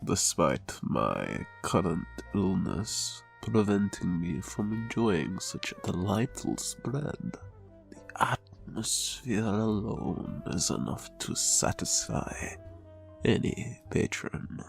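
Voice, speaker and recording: An actor as an ailing, deferential mobster in an audio drama. His low voice is extremely creaky and very slow, every phrase courteous, formal and careful. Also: expressive, soft background music, full character voice.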